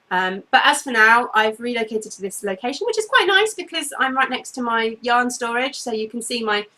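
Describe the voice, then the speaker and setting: A woman's voice: mid-range voice, pushed and bright. A woman in her thirties hosting a knitting video podcast from her bedroom, chatting casually, apologizing for tangents with plenty of ums, a little sniffly. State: a bit sniffly. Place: bedroom at home, indoors.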